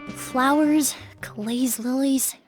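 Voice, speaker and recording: A composed young game heroine, speaks in a high, measured, polite voice. She is gentle but serious, with faint music behind her. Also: steady tone. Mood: pensive. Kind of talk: video game acting.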